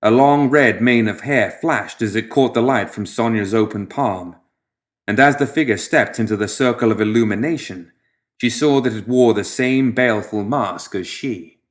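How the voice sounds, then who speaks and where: A man reading scripted fiction as an audiobook narrator, in a measured, dramatic storytelling manner that drops softer at the ends of phrases. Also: fairly low pitch.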